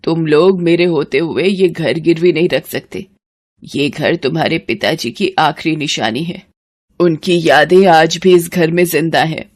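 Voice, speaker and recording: A woman's voice: sits low, measured pace. A woman voicing a wronged, remorseful elderly mother-in-law in a moral story, plaintive and pleading. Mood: protesting.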